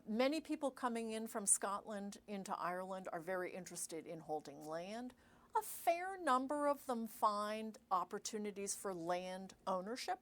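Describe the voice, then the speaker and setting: A middle-aged woman historian talks through a long answer at a steady, thoughtful pace. Her voice sits in a mid range. She often pauses with small fillers and rephrases herself mid-sentence. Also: medium pitch. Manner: measured, thinks aloud with fillers and self-corrections. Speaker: historian guest. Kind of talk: explanatory interview answers.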